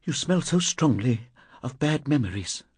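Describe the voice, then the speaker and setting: A man's voice: mid-range voice, sing-song, moderate pace, breathy edge, no echo. A man voicing a frail, haunted woman medium in an audiobook, pleading and trembling. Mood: uneasy.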